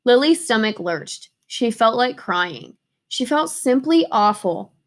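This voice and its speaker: Woman, fairly high voice, expressive storybook read-aloud, teacher reading to children, acts out dialogue. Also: slow.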